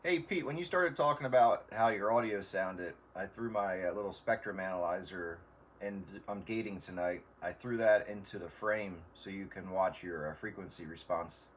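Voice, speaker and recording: A man in casual radio chat, explaining things in a halting, tentative way as an amateur operator. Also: deep voice, slight background noise.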